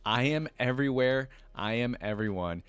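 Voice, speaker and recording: A man voicing a cunning, manipulative female sorceress in a calm, measured reading. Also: medium pitch, smooth, no room sound, natural voice. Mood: scheming.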